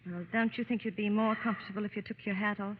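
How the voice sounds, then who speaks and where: An actress playing a brisk, patient young wife coaxing her husband in an old radio drama. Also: medium pitch, fairly even intonation, natural voice. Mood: gentle, patient. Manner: coaxing, prompting. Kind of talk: 1930s-40s radio acting. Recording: muffled vintage radio recording, echoey, faint hiss.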